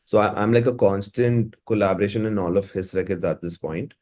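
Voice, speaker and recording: Indian guy chatting in an interview, soft dark tone, kinda low, really effusive. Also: brisk. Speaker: music producer, interview guest. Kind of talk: casual, conversational interview talk.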